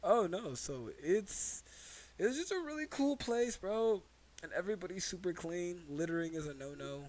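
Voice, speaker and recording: A man's voice: high-pitched, expressive, normal speed, a little mellow. A man chatting casually on a comedy podcast in slangy bro-talk, often repeating his phrases. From United States.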